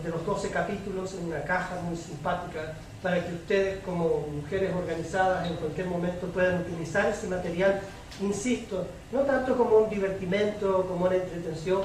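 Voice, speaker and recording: A woman's voice: fairly low pitch, a little mellow. Woman moderator, very echoey and distant. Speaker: debate moderator from a radio organization. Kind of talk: spontaneous moderating and explaining. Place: indoor public debate, roomy, picked up from afar. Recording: echoey room, distant mic, faint noise.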